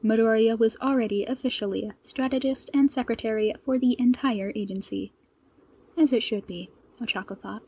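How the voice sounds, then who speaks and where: A woman narrator with a fairly high, mellow voice and a husky grain. She reads scripted fan fiction aloud with expressive storytelling delivery. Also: moderate pace.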